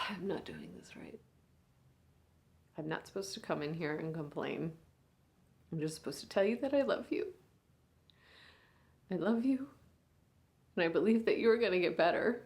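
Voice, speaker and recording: A woman performing a monologue as a weary, grieving big sister speaking at her younger sister's bedside, moving between hushed whispers and raised anger. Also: on the low side, normal speed, pauses often, lightly acted. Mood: tired, tender.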